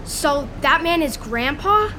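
High-voiced, curious thirteen-year-old boy. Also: expressive, takes it slowly, pushed and bright, slight background noise, exaggerated voice. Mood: curious, surprised. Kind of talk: audio drama acting with narrated thoughts.

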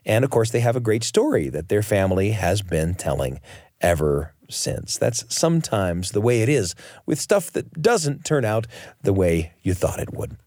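Male story-show host, highly expressive intonation, dry studio recording. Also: moderate pace, no room sound. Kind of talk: hosted radio narration and chat.

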